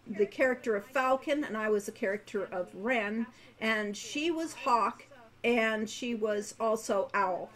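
An American woman in her sixties chatting casually on a livestream from home, halting and rambling as she thinks aloud. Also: fairly high pitch. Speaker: game designer and co-writer, livestream host. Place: home room. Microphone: webcam-style setup, no headset, at desk distance.

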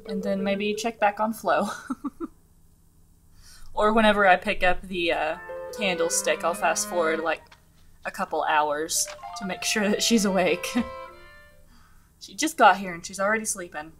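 A young woman hosting a relaxed gaming video, talking through her play in a chatty, giggly way with lots of fillers, her voice a little scratchy from the early morning. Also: medium pitch, measured pace, pauses often. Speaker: gaming channel host. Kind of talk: casual let's-play commentary. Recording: game music underneath the voice.

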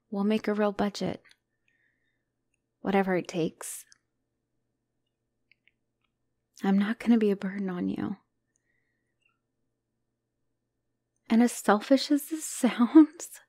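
A woman acts out a devoted young monster girlfriend in an intimate ASMR roleplay. She is anxious and unsure of herself, with a hesitant, emotional delivery that often softens toward a whisper. The voice sits at a medium female pitch in a very dry recording. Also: no echo. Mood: resolute, fragile.

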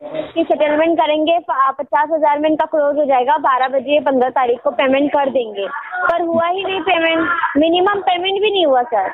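A woman's voice: high-pitched, fairly even intonation, moderate pace, slight background noise. A woman working as a loan recovery agent, speaking insistently in a phone conversation. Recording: phone line with busy voices behind.

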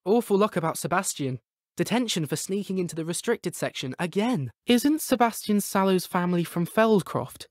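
A man's voice: sits high, animated, moderate pace, dry recording, studio quality. A young man playing a chatty teenage student wizard in a video game. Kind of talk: scripted video game dialogue.

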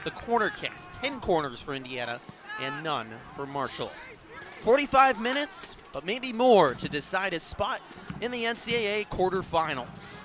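A young man doing live play-by-play commentary of a soccer match as the announcer. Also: sits high. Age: young adult.

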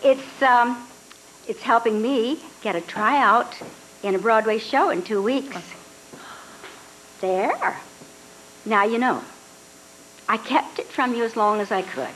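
A woman's voice: a little high, takes it slowly, pauses often, a little hiss or hum, poor audio. An older actress reading the part of a defiant young woman bent on becoming a star, in a live radio drama re-enactment on stage. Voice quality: lively, defiant, flippant. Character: brash young woman dreaming of stardom. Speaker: reader's theatre actress. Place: hotel ballroom stage with audience. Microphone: stand mic on stage.

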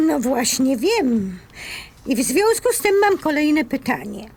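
An actress playing a suspicious, fed-up woman in an audio drama, sarcastic and cutting with drawn-out emphasis. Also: high-pitched, sing-song, darkish tone, exaggerated voice. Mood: bitterly sarcastic. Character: fed-up, suspicious woman confronting her unfaithful partner.

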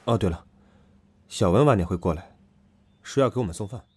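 A man playing a teasing, possessive, somewhat gruff young boyfriend in an audio drama. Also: fairly low pitch, animated, brisk, a little mellow. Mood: relaxed. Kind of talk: Chinese audio drama acting.

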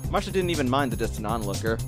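A man's voice: mid-range voice, big pitch swings, rapid-fire. Male story narrator over music.

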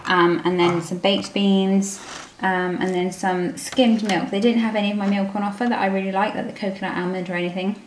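A woman's voice: mid-range voice, little pitch movement, a little fast. A woman with a British accent chatting informally through a grocery haul as a family vlogger, filming at home on a handheld camera. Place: indoor room at home. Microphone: handheld camera microphone. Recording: roomy, noisy home camera recording.

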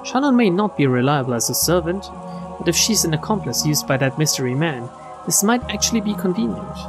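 A woman streamer reading visual novel text aloud in an expressive storytelling style. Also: deep voice, a little fast. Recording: game music behind the voice.

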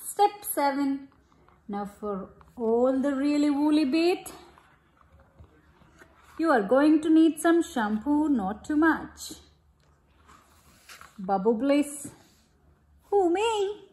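A woman reading a storybook aloud to a child in an expressive, deliberate way, pausing to ask questions. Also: high-pitched. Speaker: picture-book reader to a child. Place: room at home, at a glass table. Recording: echoey room.

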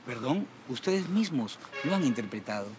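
An actor plays a meddling Peruvian nephew, coaxing and preachy. His pitch jumps around lively. Loud music runs underneath. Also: normal speed, close to an everyday voice. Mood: innocent. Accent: Peruvian Spanish. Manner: playful, preachy. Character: meddling cheerful young nephew, preachy and sly.

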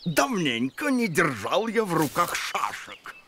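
An actor voicing a suave, pompous, grinning piano gentleman in a children's cartoon, with theatrical drawn-out delivery. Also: a little high, huge pitch swings, background noise. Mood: smug, pleased. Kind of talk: children's cartoon character acting.

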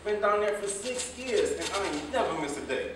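A man's voice: high-pitched, expressive, moderate pace. Man acting cajoling broke American worker, echoey, noisy. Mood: wheedling. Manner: street-casual, wheedling. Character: broke, cajoling male hotel worker thrown out by his woman. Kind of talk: staged play reading, colloquial dialogue. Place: indoor theater stage, recorded from afar. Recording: echoey stage, distant mic, noisy.

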